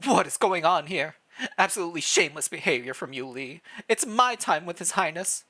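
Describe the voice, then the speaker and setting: Reader acting a gothic princess, haughty, theatrical, indignant, animated delivery, storybook melodrama. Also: mid-range voice, a little fast, pitched above the performer's own voice.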